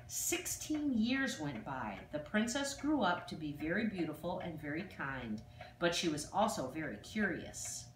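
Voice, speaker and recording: An older woman reads a children's fairy tale aloud, with expressive, dramatic emphasis on exclamations. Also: fairly low pitch, unhurried. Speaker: storyteller. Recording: distant, echoey room with faint noise.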